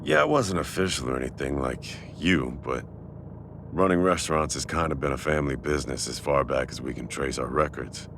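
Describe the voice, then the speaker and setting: A man playing a warm, teasing restaurant owner with a secret crush in a casual, intimate boyfriend audio roleplay. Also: very deep voice, expressive, brisk, vocal fry, background noise, exaggerated voice. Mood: earnest, relaxed.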